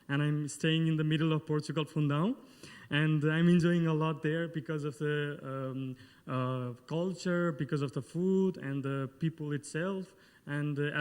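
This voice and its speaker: A man's voice: a little high, a little mellow. Hesitant man from Nepal in a hall. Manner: hesitant, many fillers and restarts. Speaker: panel speaker. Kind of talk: conference testimonial. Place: large conference hall. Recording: long hall reverb.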